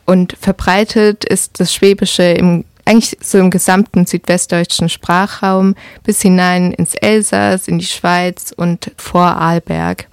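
Flat-voiced woman with a slight Baden accent. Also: lowish voice, a little slow, hardly any pauses, smooth, dry recording, clean studio sound. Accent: slight Baden German. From Karlsruhe, Baden. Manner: hesitant, fills with ähm. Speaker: podcast co-host. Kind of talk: casual podcast chat.

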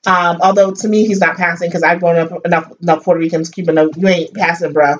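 A woman's voice: fairly low pitch, fast. A woman with a New York accent, talking bluntly and with swearing in a casual chat about a reality show. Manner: blunt, profane, animated. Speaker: co-host. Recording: distant, dull, faint hiss.

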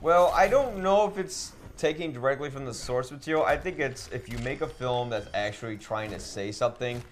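A man's voice: mid-range voice, fairly quick, slight background noise. A man talking as a podcast co-host in a casual debate, earnest and argumentative.

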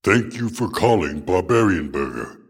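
A man voicing a big, dim barbarian fast-food worker with a slow, blunt, casual delivery in a comic radio drama. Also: low voice, animated, breathy edge, voice pushed down, exaggerated voice. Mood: evasive, casual. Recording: music bed behind the voice.

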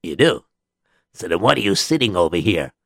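Man playing a low, skeptical grumbler. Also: sing-song, moderate pace, gravelly, a bit bright, no room sound, studio quality, heavily acted. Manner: blunt, incredulous. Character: skeptical, grumbling doubter challenging the storyteller.

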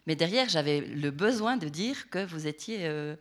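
Low-voiced woman interviewer, hesitant. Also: animated, moderate pace. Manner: searching, hesitant phrasing. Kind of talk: interview questions.

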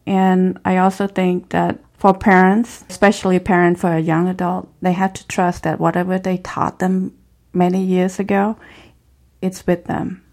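Low-pitched woman with a Cambodian accent. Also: little pitch movement, normal speed, a little mellow. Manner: earnest, encouraging, with simple non-native grammar. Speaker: former refugee and speaker. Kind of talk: reflective interview answers.

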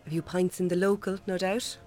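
Woman playing a calm, friendly Irish nurse. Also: lowish voice, brisk, faint music behind, natural voice. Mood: reassuring, probing. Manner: calm, reassuring, lightly teasing. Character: friendly visiting nurse, reassuring, gently probing mother. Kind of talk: naturalistic radio drama acting.

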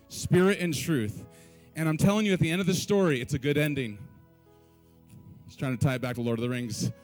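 A man leading a church service, urging the congregation with call-and-response lines and joking asides, then praying. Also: fairly high pitch. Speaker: worship leader. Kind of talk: church stage exhortation and prayer. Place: church sanctuary.

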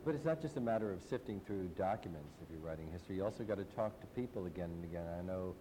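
A man's voice: low-pitched, animated, rapid-fire, in a large hall, noisy background. Male moderator asking probing questions. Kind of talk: moderating a panel. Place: large hall.

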